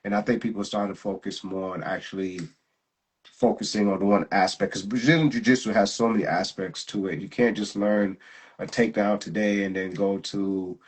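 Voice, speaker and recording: Host role: a man with a lowish, husky voice, laid-back and slangy, laughs easily. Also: fairly quick. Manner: relaxed, laughing, slangy, repeats words. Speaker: jiu-jitsu instructor and host. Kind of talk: casual live interview chat.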